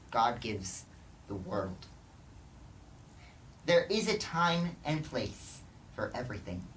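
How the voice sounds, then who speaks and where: A young man playing a weary, broken teacher-king in a theatrical biblical monologue, declaiming with dramatic pauses and emphatic repetition. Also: a little high, fairly bright tone. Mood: solemn. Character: weary, broken old teacher-king lamenting life's emptiness. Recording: distant mic, faint background noise.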